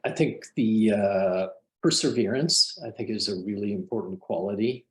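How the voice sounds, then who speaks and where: A man with a fairly low voice talks about his work as a psychiatrist on a webinar panel. He pauses often and fills the gaps with small hesitations as he thinks. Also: rough recording. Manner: thoughtful, hesitant with many fillers.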